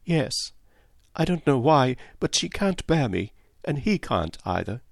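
A man's voice: mid-range voice, very animated, measured pace, creaky, dry recording, clean studio sound, voice pushed up, heavily acted. A male narrator voicing a kind, candid young English woman who talks in an earnest, confiding, slightly plaintive way. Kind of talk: audiobook character voice.

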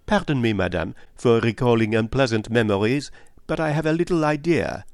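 A man's voice: medium pitch, expressive, brisk, darkish tone, dry recording, clean studio sound, lightly acted. A man voicing a polite, inquisitive foreign detective in an audiobook, courteous and formally phrased as he probes.

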